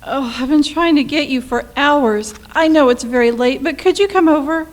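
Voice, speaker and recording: A woman's voice: high voice, normal speed, natural voice. A woman playing an anxious, pleading wife in a radio play, dramatic in manner. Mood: frightened. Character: frightened, pleading wife alone at home.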